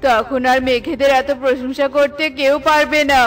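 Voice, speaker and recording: A woman's voice: high voice, fairly even intonation, moderate pace, natural voice. A woman voicing a talkative, blunt forest bird in a children's cartoon, with an emphatic delivery. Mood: grumpy.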